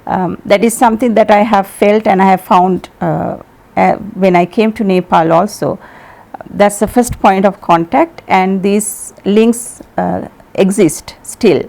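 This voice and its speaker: A woman whose first language is Sinhala, from Sri Lanka, giving measured, diplomatic interview answers with frequent pauses. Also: mid-range voice, gravelly, dark tone. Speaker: ambassador being interviewed.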